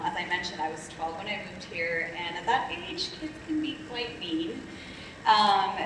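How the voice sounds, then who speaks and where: A woman's voice: on the low side, noisy background. A woman from Colombia, a panelist telling her story in a candid, reflective way with frequent ums. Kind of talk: panel discussion, personal story. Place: conference stage. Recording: echoey hall, distant mic.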